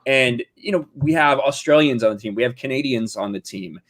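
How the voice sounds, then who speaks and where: A man's voice: medium pitch, a little fast. A man giving casual commentary in an earnest, explanatory way. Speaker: online video commentator.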